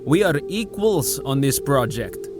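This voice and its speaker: A man's voice: mid-range voice, sing-song, unhurried, over music. A man playing an arrogant, scheming gamma scientist who speaks haughtily. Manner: haughty and demanding.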